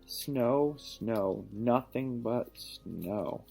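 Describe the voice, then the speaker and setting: A man reading a picture book aloud to a child, pausing to ask questions and give patient encouragement. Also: medium pitch, animated, creaky, dark tone, faint music behind. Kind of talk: storybook read-aloud with teaching asides.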